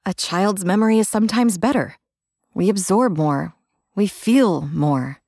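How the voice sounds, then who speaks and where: A woman's voice: medium pitch, a little slow, a little mellow, husky, no room sound, clean studio sound. A woman voicing a stubborn, perceptive young fantasy sister, earnest and challenging, in an audiobook. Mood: insistent.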